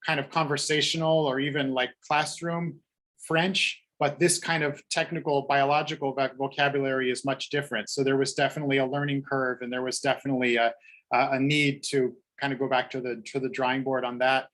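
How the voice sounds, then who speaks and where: A man's voice: medium pitch, flat delivery, fairly quick, fairly bright tone, rough recording. A middle-aged man speaking as a translator on a book panel over a video call, thoughtful and digressive, with many fillers and restarts. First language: English.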